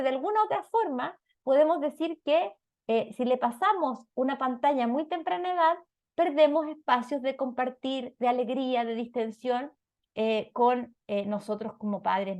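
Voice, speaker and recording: Higher-pitched Chilean woman lecturing. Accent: Chilean Spanish. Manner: didactic, frequent check-in questions. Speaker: lecturer on parenting and psychology. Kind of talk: explanatory talk to an audience.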